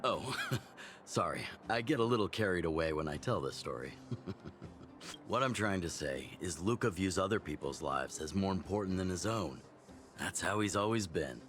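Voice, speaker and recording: A seasoned fighting master from a video game, with a very deep voice. He speaks in a measured, reflective way, like a fatherly mentor fond of his student. Faint ambient noise sits behind him. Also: animated, fairly bright tone. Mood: fond, apologetic. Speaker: mentor character. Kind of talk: video game character dialogue, storytelling.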